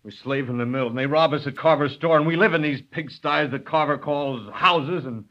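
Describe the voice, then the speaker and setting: A man's voice: on the high side, normal speed, fairly bright tone, natural voice. A man playing an angry, fed-up young mill worker in a 1930s radio drama, with a rural drawl. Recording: dull old radio recording.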